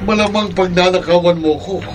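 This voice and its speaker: A threatening old male homeowner, played by a man, in a radio drama. His voice is slightly high and set back from the mic as he gives stern orders over music. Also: steady tone, a little fast, natural voice. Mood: threatening, accusing. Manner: commanding, accusing. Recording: distant from the mic, music underneath.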